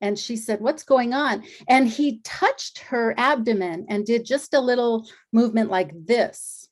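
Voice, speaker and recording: A middle-aged woman, an energy healer and acupuncturist, telling her story in a relaxed interview and acting out the dialogue she quotes. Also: fairly high pitch, measured pace.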